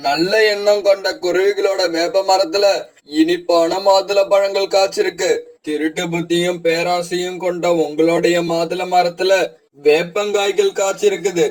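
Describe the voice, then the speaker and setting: A man voicing a stern, preachy talking tree in a children's moral cartoon, speaking slowly and solemnly. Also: high-pitched, steady tone, a little mellow, natural voice, rough recording.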